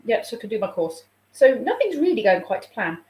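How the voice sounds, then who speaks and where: A woman's voice: a little high, very animated, a little fast, darkish tone. A woman, a childminder chatting casually on a live stream and reading out viewer comments, a little snuffly with a sore throat coming on. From England. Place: room at home. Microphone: phone. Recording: roomy home room echo.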